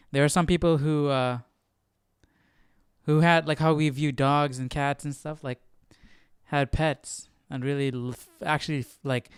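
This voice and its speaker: A young man speaks as a casual livestream host, musing in an informal, slangy way. His voice is smooth and clear at a medium pitch, recorded close and dry. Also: no room sound. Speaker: Bible study livestream host. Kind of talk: casual reaction commentary.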